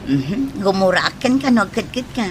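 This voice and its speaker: A woman's voice: medium pitch, big pitch swings, moderate pace, lightly acted. Woman acting as flirty Ilocano gossip, faint noise behind. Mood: friendly, coaxing. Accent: Filipino, Ilocano. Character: flirtatious, gossiping female friend, teasing and forward. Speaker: radio drama actor. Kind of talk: conversational radio drama acting.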